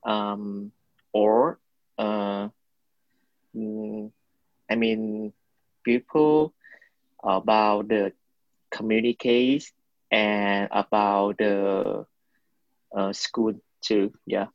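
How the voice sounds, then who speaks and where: A man's voice: fairly low pitch, little pitch movement, very slow, long pauses, no room sound, dull audio. A man in his twenties from Vietnam with a Vietnamese accent, giving hesitant interview answers while searching for words. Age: 20s. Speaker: interviewee, college student.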